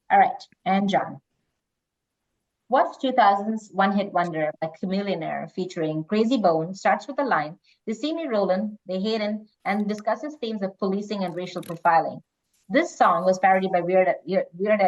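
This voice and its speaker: A woman's voice: mid-range voice, normal speed. A woman hosting a quiz, reading out questions and chatting casually with the players. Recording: dull lo-fi online call audio.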